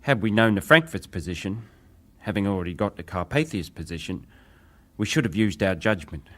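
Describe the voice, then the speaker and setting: An actor playing a ship's wireless operator, a respectful but defensive witness giving clipped testimony in a dramatised hearing. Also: male voice, lowish voice, expressive, brisk, fairly bright tone. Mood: defensive, firm. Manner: clipped, respectful, matter-of-fact.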